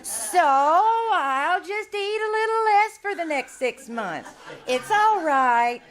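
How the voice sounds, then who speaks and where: A woman's voice: very high-pitched, animated, slow, full character voice. A woman playing a fussy, guilt-tripping older landlady in a live radio comedy, sweetly martyred and wheedling. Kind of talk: live old-time radio comedy acting.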